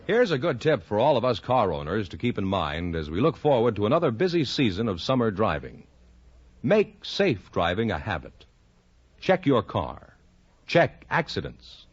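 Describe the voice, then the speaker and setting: Polished American radio announcer, animated pitch. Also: male voice, normal speed, slightly rough. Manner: polished salesman delivery. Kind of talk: 1950s radio sponsor announcing, scripted. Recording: dull vintage radio broadcast, some music.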